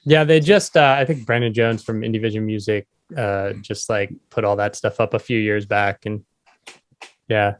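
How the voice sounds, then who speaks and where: A man's voice: on the low side, fairly even intonation, normal speed, dry recording. A man hosting a podcast, chatting casually and asking questions in an interview. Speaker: podcast host.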